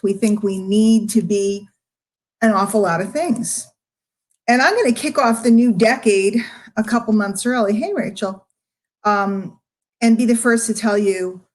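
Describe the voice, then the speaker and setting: Emotional middle-aged woman, fairly high voice. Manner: confiding, emphatic, with drawn-out words and pauses. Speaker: online marketer addressing followers. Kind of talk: candid livestream monologue to followers.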